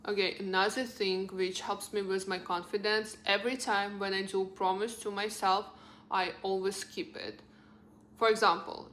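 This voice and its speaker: Echoey room, young adult woman, Russian accent, native Russian speaker, medium pitch, casual vlog advice, earnest and encouraging. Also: fairly bright tone, slight background noise. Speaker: lifestyle vlogger. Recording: roomy, echoing sound.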